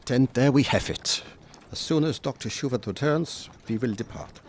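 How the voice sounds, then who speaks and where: A man's voice: medium pitch, expressive, close to an everyday voice. A man playing a grave, commanding older professor who hunts vampires, speaking formally in an audio drama. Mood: grave, urgent. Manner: formal, instructing, deliberate phrasing. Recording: audible background noise.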